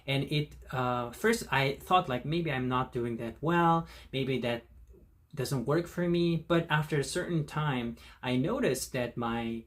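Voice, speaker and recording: Slow, thoughtful male vlogger. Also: medium pitch. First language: not Korean. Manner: slow, thoughtful, many fillers and restarts. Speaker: channel host. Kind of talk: casual talk to camera.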